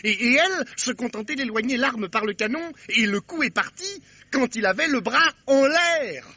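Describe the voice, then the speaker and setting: An actor playing an excitable, folksy detective sidekick who stammers and repeats himself in a vintage French radio drama. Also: male voice, very high-pitched, muffled recording, slight background noise, heavily acted. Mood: puzzled, eager. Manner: halting, stammering repetitions.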